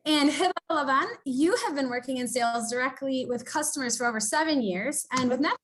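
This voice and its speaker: A woman's voice: sits high, quick pace, a bit bright. A woman hosting an online business webinar, upbeat and chatty with fillers, heard over a video call. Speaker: webinar host.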